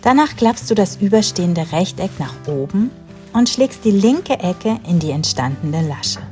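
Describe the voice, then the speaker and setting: A woman in her thirties calmly narrating a home cleaning routine in a voice-over, giving step-by-step tips straight to the viewer. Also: mid-range voice, normal speed, faint music behind. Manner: calm, instructive, talks directly to the viewer. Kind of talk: calm scripted voice-over narration.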